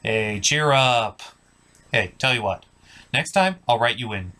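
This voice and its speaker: Lively male comedic review host. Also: mid-range voice, a little slow. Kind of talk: scripted comedic review with skits.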